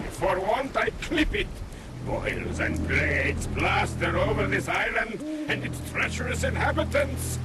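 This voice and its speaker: An actor playing an ancient demon knight in a video game, haughty and menacing, with grandiose archaic speech. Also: male voice, high-pitched. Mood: furious. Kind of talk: dramatic video game villain acting. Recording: music and rain behind the voice.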